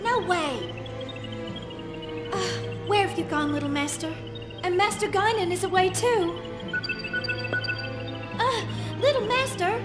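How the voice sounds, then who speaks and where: High-pitched feisty young girl. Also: slow, long pauses, loud music behind the voice. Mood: alarmed. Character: perky, informal young girl, fiercely loyal and feisty. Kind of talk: video game cutscene voice acting.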